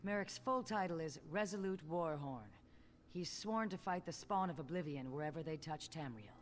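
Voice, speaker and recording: An actor, a woman, playing an earnest, newly appointed guildmaster in a fantasy game, speaking in a measured, formal way. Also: deep voice, a little hiss or hum, natural voice. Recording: game music underneath.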